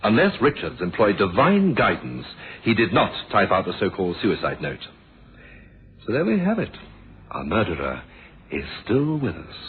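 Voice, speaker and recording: An actor playing a calm, shrewd male police inspector in a radio mystery, explaining in a deliberate, measured way and pressing his point. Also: fairly low pitch, exaggerated voice. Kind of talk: 1970s radio mystery drama acting. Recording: muffled, noisy old radio drama recording.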